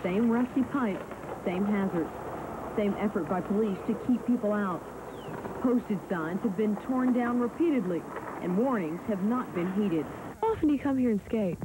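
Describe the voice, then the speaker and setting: A woman's voice: medium pitch, moderate pace. A woman reporting for television news, reading a script and putting questions in the field. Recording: noisy field news recording.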